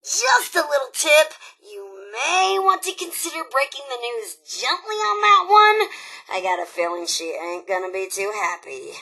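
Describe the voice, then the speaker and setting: Sassy female robot raider, video game character, bright forceful tone, husky edge, cocky, flighty, teasing, slangy, dramatic emphasis. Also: high-pitched, animated, measured pace, full character voice, rough recording. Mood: cheeky, conspiratorial.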